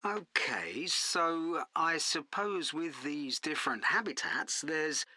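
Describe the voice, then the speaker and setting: A woman's voice: deep voice, animated, slow pace, bright, forceful tone, dry recording, full character voice. A woman playing a polite teaching assistant who asks questions in a scripted dialogue. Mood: polite, curious. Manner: polite, inquiring. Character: polite, inquiring female teaching assistant on a call. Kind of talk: scripted listening-test dialogue.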